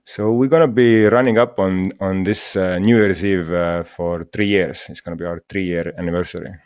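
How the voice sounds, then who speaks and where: A man from Estonia, a guest in an interview, speaking English in a halting way with many fillers and restarts. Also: sits low, steady tone, darkish tone, dry recording, clean studio sound. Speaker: guest, mushroom school founder. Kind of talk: casual interview talk.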